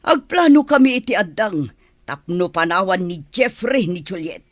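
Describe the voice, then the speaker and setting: A woman's voice: lowish voice, very animated, normal speed, no room sound. A woman with an Ilocano accent playing a scheming, disapproving middle-aged mother-in-law in a radio drama. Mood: plotting.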